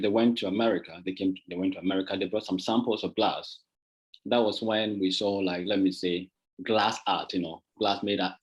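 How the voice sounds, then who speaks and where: A man from Ghana speaks in a fairly low voice, talking through his story in an easy, conversational way with plenty of fillers. His voice comes over a video call with dull, lo-fi sound. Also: breathy edge. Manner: relaxed, conversational, many fillers. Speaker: guest artist presenter. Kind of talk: casual presentation on a video call.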